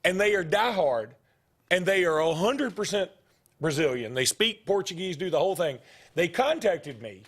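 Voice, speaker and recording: A man's voice: normal speed, slightly rough. A middle-aged man, hosting a sports talk show and rambling through stories in casual chat. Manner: rambling storytelling, jumps in pitch when animated.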